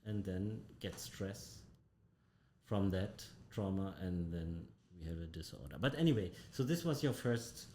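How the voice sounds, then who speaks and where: A man hosting an interview, asking questions in a halting way, repeating words as he goes. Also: expressive, a little mellow. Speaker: doctor hosting an interview show. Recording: roomy echo with faint rumble.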